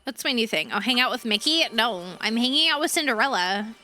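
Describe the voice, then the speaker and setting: A bubbly woman streamer chats with her viewers from her home setup, sweet and quick to thank them. Her voice is high, lively and bright, though it is going hoarse. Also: a little hiss or hum. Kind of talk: casual live-stream chat while gaming.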